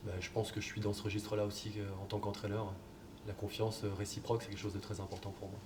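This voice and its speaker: A man, a football coach, answering interview questions in a relaxed, hesitant way with many fillers. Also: lowish voice, fast. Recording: distant mic, background noise.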